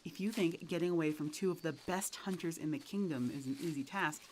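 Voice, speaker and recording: A hard-bitten female poacher, played by a woman in an audio roleplay. Her voice sits on the low side, curt and sardonic, with an irritable, threatening edge. Faint noise lies under the recording. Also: fairly quick, lightly acted, low-quality recording. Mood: menacing.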